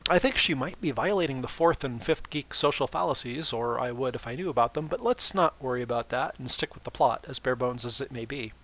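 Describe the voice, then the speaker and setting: A man's voice: mid-range voice, fairly quick, hardly any pauses, fairly bright tone, rough recording. A man voicing a friendly, agreeable young female unicorn pony with a chatty, wordy manner in a story reading. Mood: agreeable, explanatory.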